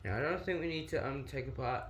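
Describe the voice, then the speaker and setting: A 19-year-old man, chatting casually through a hands-on how-to while deliberately pushing his voice extra deep. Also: lively intonation, fairly bright tone, a little hiss or hum. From New Zealand. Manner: chatty, filler-heavy, talks while working with his hands. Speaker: vlogger.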